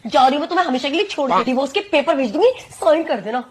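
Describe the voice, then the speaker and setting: Woman acting a shrill, high-pitched scolding cartoon wife. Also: expressive, racing, over music. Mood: scornful. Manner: scolding, exclaiming. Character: furious, scolding wife berating her foolish husband. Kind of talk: comedy cartoon skit dialogue.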